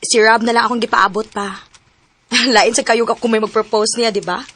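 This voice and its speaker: A woman's voice: fairly high pitch, steady tone, normal speed, a bit bright. An actress playing a worried young woman pressing her partner about their relationship in a radio drama. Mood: anxious. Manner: pleading, questioning.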